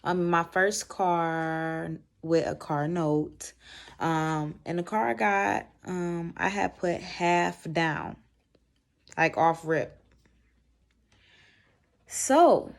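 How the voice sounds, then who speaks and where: Casting: American woman vlogger, low voice, loose slangy storytime delivery with animated asides. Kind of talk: casual storytime chat to camera.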